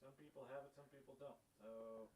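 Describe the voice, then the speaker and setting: A man's voice: medium pitch, fairly even intonation, brisk, darkish tone. A young man helping on a livestream, chatting casually from away from the microphone. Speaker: livestream tech helper. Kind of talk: casual off-camera chat. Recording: off-mic, noisy room.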